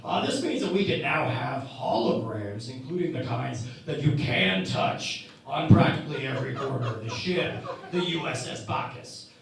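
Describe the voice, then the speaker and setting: A man playing an awkward starship engineer in a comedic parody radio play, halting and fumbling for words. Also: medium pitch, expressive, a crowd in the background, lightly acted. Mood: awkward, proud. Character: awkward, stammering male starship engineer presenting his invention. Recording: roomy echo, distant mic, faint noise.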